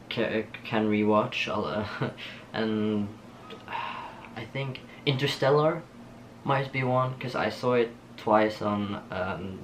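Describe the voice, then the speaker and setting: A young man from Norway with a Norwegian accent, chatting casually to camera in a small home studio, laughing often and pausing with ums. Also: mid-range voice, slight background noise. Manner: relaxed, giggly, lots of ums and restarts. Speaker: vlogger. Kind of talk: casual get-to-know-me chat. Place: small bedroom studio with shelves and posters. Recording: soft guitar music underneath.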